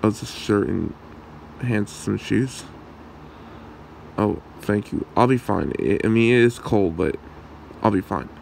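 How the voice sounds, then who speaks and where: A man's voice: fairly low pitch, lively intonation, creaky, a little hiss or hum. A man playing a shy, awkward young man smitten with his friend, speaking hesitantly in an ASMR roleplay. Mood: shy, friendly. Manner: hesitant, halting, soft-spoken.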